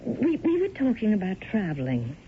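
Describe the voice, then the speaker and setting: Anxious pleading wife, tinny radio recording. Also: female voice, medium pitch, huge pitch swings, normal speed. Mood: tentative, coaxing. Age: middle-aged. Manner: earnest, coaxing, insistent. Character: anxious, pleading, devoted middle-aged wife. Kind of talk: 1970s radio drama acting. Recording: thin phone-like, hissy 1970s radio recording.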